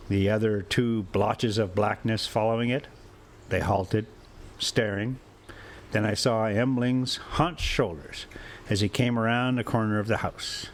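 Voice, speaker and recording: Slow-paced man reading a story. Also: fairly low pitch. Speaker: host and story reader. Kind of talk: audiobook-style story narration with casual asides.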